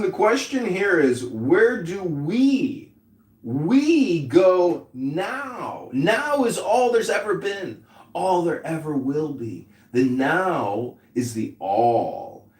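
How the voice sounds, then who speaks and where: A man hosting a rambling group-chat podcast, repeating himself in a drawn-out way. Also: high-pitched. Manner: drawn-out, repeats "hello" and "point of order" over and over. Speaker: podcast host. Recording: echoey room audio, from far off.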